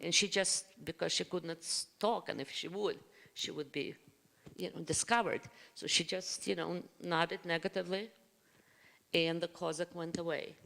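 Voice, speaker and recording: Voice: medium pitch, gravelly, fairly bright tone. Russian-accented emigré telling a family story. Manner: earnest, grateful, halting with restarts. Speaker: panelist. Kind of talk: personal storytelling to a congregation. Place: congregation hall. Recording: long reverb of a hall.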